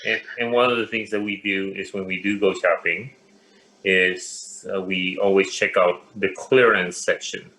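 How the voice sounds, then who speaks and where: A man, a pastor, joining the interview and calmly explaining things and completing his wife's answers. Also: sits low, unhurried, poor audio. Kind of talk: casual chat.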